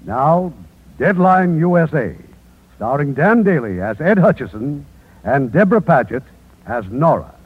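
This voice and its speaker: A man's voice: a little high, big pitch swings, unhurried, slight background noise. A man hosting a radio theatre program, giving a formal, theatrical scripted introduction to a play. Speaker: producer and host introducing the play. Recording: tinny vintage radio broadcast recording.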